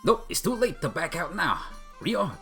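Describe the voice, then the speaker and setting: Man putting on a high-pitched, theatrical bossy older sister. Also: big pitch swings, normal speed, vocal fry, a bit bright, soft background music, heavily acted. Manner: theatrical, overacted.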